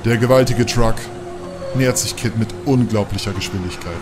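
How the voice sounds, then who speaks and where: Low-pitched male audio drama narrator. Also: normal speed, background noise.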